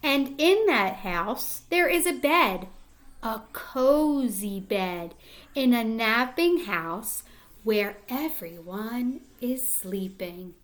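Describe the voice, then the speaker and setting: Slow, higher-voiced woman reading to children. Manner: deliberate, expressive, prompting listeners with questions. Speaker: teacher reading a picture book aloud. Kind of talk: read-aloud lesson for young children.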